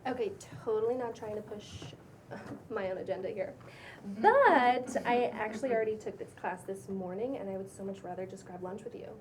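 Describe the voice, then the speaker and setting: A woman's voice: mid-range voice, animated, a crowd in the background, natural voice. A woman acting a bubbly influencer in her thirties with an intense streak, gushing and slangy, in a cold script read. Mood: conspiratorial. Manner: gushing, drawn-out exclamations, casual slangy talk. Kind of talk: cold table read of a comedy pilot. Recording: distant room mic, faint background noise.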